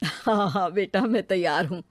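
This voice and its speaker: A woman's voice: lowish voice, normal speed, no room sound, studio quality, natural voice. A woman voicing a doting older mother-in-law who coaxes in a motherly way in a family cartoon. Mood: reassuring. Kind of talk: Hindi moral-story cartoon dubbing.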